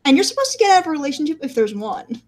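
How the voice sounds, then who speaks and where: A woman's voice: high voice, big pitch swings, very fast, a little mellow. A nineteen-year-old woman in a casual group chat, chatty and eager to explain. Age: late teens.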